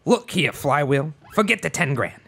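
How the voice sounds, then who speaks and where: Crookley, a man doing a gravelly gangster boss. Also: on the high side, very animated, moderate pace, a bit bright, a little hiss or hum, full character voice. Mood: menacing. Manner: tough-guy threats, gangster slang. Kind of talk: student radio play.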